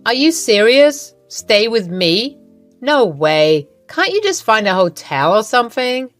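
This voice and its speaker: A woman's voice: a little high, big pitch swings, unhurried, dry recording, soft background music. A woman voicing a rude, dismissive middle-aged mother-in-law who talks in a curt, impatient, scornful way.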